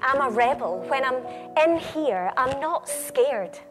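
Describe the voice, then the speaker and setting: A woman's voice: sits high, animated, takes it slowly. A woman performing a defiant anarchist rebel in a scripted political theatre show, with clipped, rhythmic delivery. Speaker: stage performer. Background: music.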